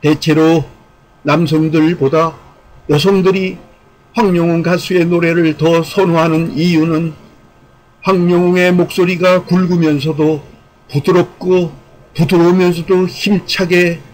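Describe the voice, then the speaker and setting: Male narrator, fairly high pitch, monotone delivery, formal measured phrasing, scripted commentary. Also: poor audio.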